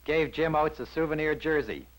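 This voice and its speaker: A man's voice: fairly high pitch, normal speed, a bit bright, poor audio. An American man reading scripted newsreel narration from around 1960 in a polished, wry manner.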